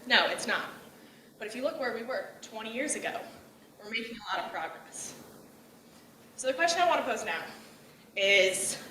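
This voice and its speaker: A young woman delivers a personal talk with a bright, forceful voice, direct and outgoing. She is picked up from some distance in an echoey room. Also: medium pitch, a little hiss or hum. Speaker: speaker giving a talk. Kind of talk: personal speech on gender roles.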